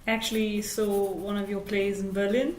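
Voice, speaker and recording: A woman's voice: mid-range voice, flat delivery, normal speed, darkish tone. A woman co-interviewer chipping in casually with short questions and remarks. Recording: echoey room, faint noise.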